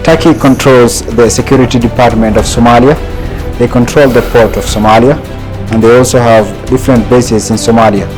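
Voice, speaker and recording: A man's voice: medium pitch, fairly even intonation, fairly quick, music in the background, low-quality recording. A man hosting a TV interview, asking questions and adding short backchannels. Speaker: interview host.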